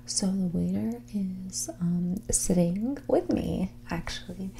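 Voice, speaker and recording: A woman playing a snobby, dismissive rich young woman in a whispered and soft-spoken ASMR roleplay, condescending and ditzy, saying "like" often. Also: medium pitch, takes it slowly, a little hiss or hum. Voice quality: breathy whisper mixed with creaky soft speech. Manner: ditzy, condescending, hesitant delivery full of "like".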